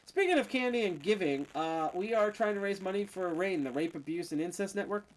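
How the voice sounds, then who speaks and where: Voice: medium pitch, animated, fairly quick, rough recording. Rambling, jokey game streamer. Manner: rambling, jokey, trails off and restarts. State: slightly tipsy. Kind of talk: casual live-stream chatter while playing.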